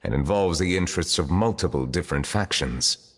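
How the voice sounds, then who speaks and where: An actor playing a dignified, reserved dragon in human form, a chief justice in a video game, speaking formally and solemnly. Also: male voice, low-pitched, lively intonation, a little fast, long reverb tail, a little hiss or hum. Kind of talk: video game character acting.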